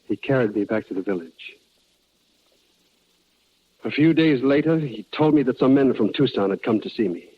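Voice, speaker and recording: A man's voice: medium pitch, expressive, moderate pace, pauses often, breathy edge. An actor playing an earnest frontiersman and peacemaker in a 1950s radio drama, also narrating in the first person. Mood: somber narration. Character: earnest frontiersman and peace-broker, grieving husband. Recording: muffled vintage radio broadcast with music.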